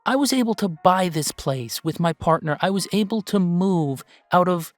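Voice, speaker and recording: A man playing an upbeat, earnest video game streamer who chats casually and haltingly in a livestream roleplay. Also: high voice, expressive, dry recording, faint music behind. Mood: grateful, earnest. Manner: halting, rambling, sighing, earnest.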